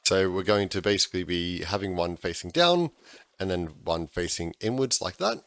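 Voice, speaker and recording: A man, slightly hoarse because he is losing his voice, talking through a game-building tutorial in a chatty way with frequent restarts. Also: low voice, normal speed, a bit bright, dry recording. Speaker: gaming tutorial host. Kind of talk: casual game tutorial voice-over.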